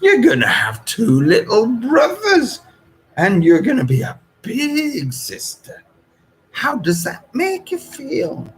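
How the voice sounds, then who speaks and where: A man's voice: very high-pitched, wildly swooping pitch, takes it slowly, slight background noise, voice pushed up, exaggerated voice. A man voicing a chatty, nosy woman in her sixties, a gushing neighbour in a story who draws out her exclamations. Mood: cooing. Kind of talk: audiobook character voice.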